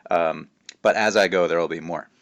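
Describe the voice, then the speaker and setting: A man's voice: low voice, fairly even intonation, moderate pace. A man speaking as a children's author, informally reading a storybook aloud with modest asides. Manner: self-deprecating, informal.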